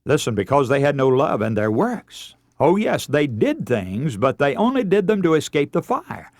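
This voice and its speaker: Radio preacher with sweeping, dramatic pitch. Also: male voice, sing-song, moderate pace, dry recording, clean studio sound. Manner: earnest, emphatic, illustrating with anecdotes and rhetorical questions. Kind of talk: radio sermon.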